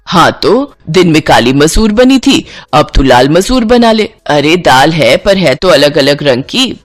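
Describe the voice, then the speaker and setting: A woman's voice: sits low, big pitch swings, unhurried, soft background music, heavily acted. A woman voicing a stingy, scolding older Indian mother-in-law in a bossy, nagging way for a story. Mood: dismissive.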